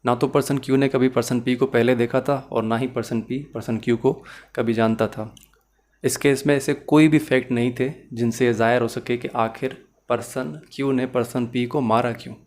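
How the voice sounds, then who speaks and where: A man with a Pakistani accent lecturing as a law teacher, mixing English into his speech and explaining points step by step in a didactic way. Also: mid-range voice. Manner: code-switches between Urdu-Hindi and English.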